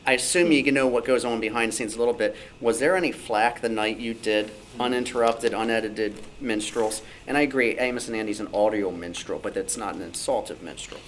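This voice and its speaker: A man's voice: fairly low pitch, a little fast, hardly any pauses. A man at a club meeting telling an informal story, acting out the dialogue as he recounts it. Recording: slightly noisy live meeting recording.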